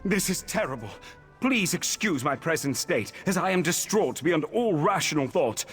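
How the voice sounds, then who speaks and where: An actor playing a distraught, courtly scholar of magic in a video game, with a formal, flustered, theatrical delivery. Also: male voice, a little high, lively intonation, moderate pace, a bit bright. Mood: shocked, distraught. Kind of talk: video game character dialogue. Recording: game music behind.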